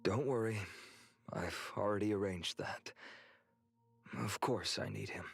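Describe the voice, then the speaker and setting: An actor playing an earnest, resolute young swordsman hero in a video game, speaking in a measured, friendly way. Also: fairly low pitch, creaky, husky, exaggerated voice. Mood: fond, sheepish. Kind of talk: English video game voice acting. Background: background game music.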